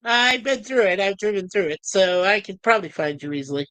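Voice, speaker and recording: A man's voice: sits high, animated, fairly quick, bright, forceful tone, smooth, no room sound, poor audio. Man, late 50s, teasing podcast host, dry close mic. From Texas. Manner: joking, teasing, laughs often. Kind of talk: casual chat.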